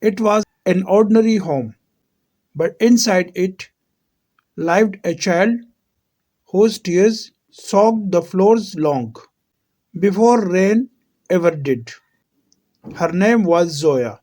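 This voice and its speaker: A man with a high-pitched voice reads a scripted emotional story. His delivery is very slow and solemn, with deliberate dramatic pauses between short phrases. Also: a little mellow.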